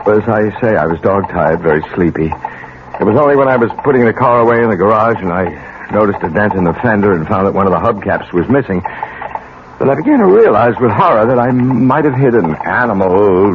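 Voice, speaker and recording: An actor playing a mild, educated middle-aged professor in a 1970s radio drama, speaking formally and haltingly. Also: male voice, lowish voice, lively intonation, lightly acted. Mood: anxious, apologetic. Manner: halting, repeats words, formal diction. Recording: tinny, noisy 1970s radio broadcast recording.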